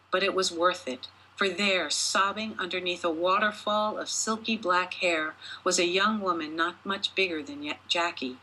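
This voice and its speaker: Female author-narrator, bright and forceful with a husky edge, expressive storybook read with deliberate phrasing. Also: lowish voice, rough recording. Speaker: author reading own short story.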